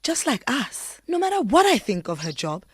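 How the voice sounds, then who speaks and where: A woman playing a compassionate but disapproving shopkeeper who is softening, in a radio drama. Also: on the high side, sing-song, moderate pace, slightly rough, no echo, clean studio sound. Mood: conflicted compassion.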